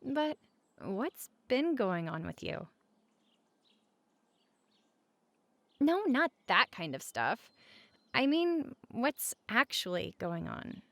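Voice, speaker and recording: A woman playing a caring, teasing roommate in a comfort audio roleplay, speaking casually and candidly with a creaky voice at regular volume. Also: on the high side, sing-song, takes it slowly, a bit bright, no echo, exaggerated voice. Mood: concerned, coaxing.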